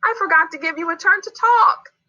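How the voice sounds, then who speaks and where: A woman voicing a curious, eager, naive young alien child in a children's storybook, earnest and excitable. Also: very high-pitched, fairly quick, highs cut off, voice pushed up, heavily acted. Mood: apologetic.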